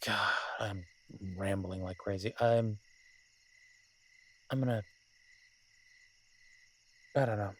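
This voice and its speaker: A man playing a lovesick, wry mafia boss who rambles haltingly in an intimate audio drama voice message. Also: fairly low pitch, steady tone, slight background noise. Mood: rambling, tender. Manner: halting, rambling, drawn-out pauses, occasionally swearing.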